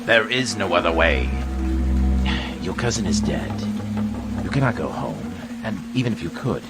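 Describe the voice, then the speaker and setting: Man as grave male mentor, game cutscene, low voice, gravelly, solemn, ominous, loud music behind. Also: big pitch swings, normal speed. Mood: stern, goading. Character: grave, manipulative male mentor in thievery and vengeance. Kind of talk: dramatic game cutscene dialogue.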